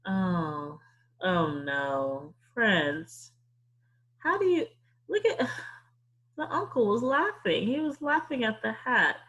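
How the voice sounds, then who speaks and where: Slow, very animated woman teaching young children. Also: on the high side. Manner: exaggerated questioning, thinking aloud to young listeners. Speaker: teacher leading a read-aloud. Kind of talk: interactive children's story-time lesson.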